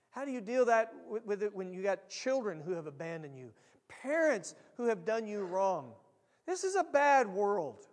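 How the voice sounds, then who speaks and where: An American man preaching a sermon to his church, earnest and repetitive, swelling loud on key lines. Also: high-pitched, big-room sound. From United States. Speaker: pastor. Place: church sanctuary.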